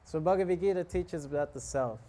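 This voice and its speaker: A man giving a relaxed, joking talk on scripture to a live audience, often asking them questions. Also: fairly high pitch, brisk. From South Africa. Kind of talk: casual sermon to a live audience.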